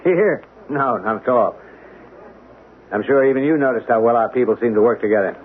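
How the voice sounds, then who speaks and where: An actor playing a proud military colonel who runs a secret project, speaking in a formal, assertive, officious way in 1970s radio drama. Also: male voice, mid-range voice, fairly quick, narrow, tinny audio, close to an everyday voice. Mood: defensive. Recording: faintly noisy, band-limited 1970s radio recording.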